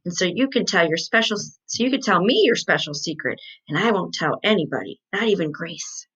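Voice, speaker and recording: A woman's voice: fairly low pitch, expressive, a little fast, a bit bright, husky, muffled recording. A woman voicing a nosy, insistent kindergarten girl in a storybook, coaxing and scolding her friends.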